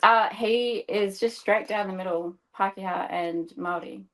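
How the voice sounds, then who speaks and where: A woman's voice: mid-range voice, fairly even intonation, normal speed, a crowd in the background, low-quality recording. A woman in her thirties, a podcast guest chatting casually over a video call, laughing often and explaining things patiently. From Australia. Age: 30s. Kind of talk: casual interview chat.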